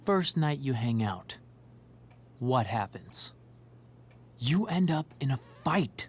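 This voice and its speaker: An actor voicing a jealous, worried teenage boy in a video game, pleading and accusing in an emphatic, drawn-out way. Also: medium pitch, sing-song, breathy edge, heavily acted. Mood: bitter, accusing.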